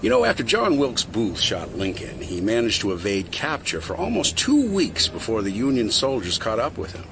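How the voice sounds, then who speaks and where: A man playing a calm, persuasive conspiracy insider in an audio drama, speaking in a measured, knowing storyteller's way. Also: on the low side, creaky, full character voice. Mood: measured storytelling. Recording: noisy street ambience behind voice.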